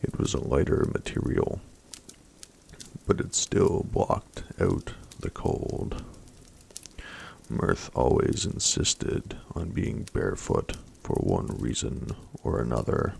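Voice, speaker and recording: A man reading a story aloud as soft-spoken ASMR, in a breathy, hushed voice that often drops to a whisper. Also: rumbling low, very animated, slow, slight background noise.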